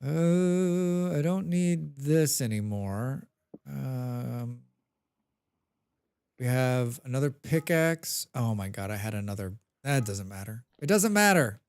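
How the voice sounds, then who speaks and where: A man with a head cold talks through a survival game on a live stream, his pitch bouncing up and down as he goes. He hums little tunes under his breath and chats back to his viewers in a loose, casual way. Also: animated, takes it slowly, gravelly, darkish tone, smooth. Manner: chatty, hums to himself, answers chat.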